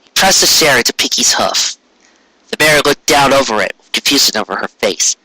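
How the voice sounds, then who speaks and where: Amateur male audiobook narrator: mid-pitched, sharply bright voice, scripted storytelling. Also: expressive, normal speed, no room sound, poor audio.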